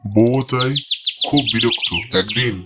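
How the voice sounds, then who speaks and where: A man's voice: mid-range voice, fairly even intonation, slow pace. A man narrating a cartoon folk tale in a dramatic storybook style. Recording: music under the voice.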